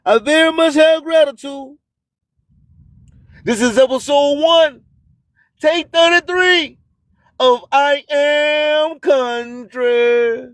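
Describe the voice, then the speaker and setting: A man's voice: very high voice, slow, pauses often, fairly bright tone, dry recording. A man hosting an internet radio show, delivering a motivational spoken-word monologue in a preachy manner full of repeated, rhyming catchphrases.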